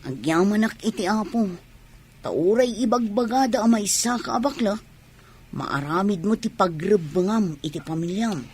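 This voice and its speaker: An actress playing a sweet, devoted young pregnant wife in a family drama. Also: female voice, mid-range voice, animated, moderate pace, darkish tone, husky. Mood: affectionate, calm.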